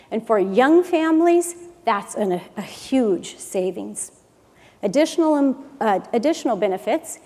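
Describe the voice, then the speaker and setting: A woman with a fairly high voice speaks earnestly and upbeat, at times restarting a phrase. Her words ring out through a large conference hall with a long echo. It sounds like a prepared talk from a stage. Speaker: employer presenting at a conference. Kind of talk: prepared conference talk, then answering a question.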